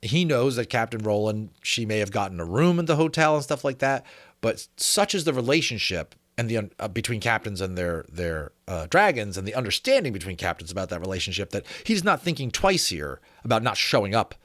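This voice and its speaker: Expressive lowish-voiced man storyteller. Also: brisk, no echo, studio quality. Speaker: narrator, reader. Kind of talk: expressive storytelling with casual asides.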